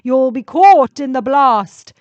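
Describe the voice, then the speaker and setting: A woman voicing a needy, menacing male sentient spaceship in an audio story, speaking in slow, emphatic, drawn-out phrases. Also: high-pitched, lively intonation, darkish tone, no room sound, muffled recording, voice pushed up. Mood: threatening, demanding.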